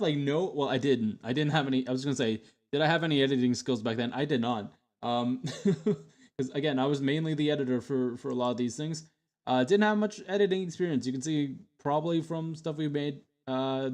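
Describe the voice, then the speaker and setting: A man's voice: medium pitch, a little mellow. A young adult man streaming, chatting casually with lots of fillers and restarts as he looks back on old videos. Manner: rambling, many fillers and restarts.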